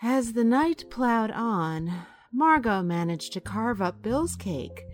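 A woman playing a kindly, wry married friend in an audio drama, both in dialogue and as storyteller, with measured delivery. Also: a little high, animated, soft background music. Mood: wry narration. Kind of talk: audio drama acting and narration.

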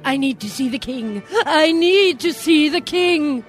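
A woman playing a murderous, melodramatic evil queen in a radio play, shrill and theatrical. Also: lively intonation, measured pace, faint music behind. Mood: feigned distress.

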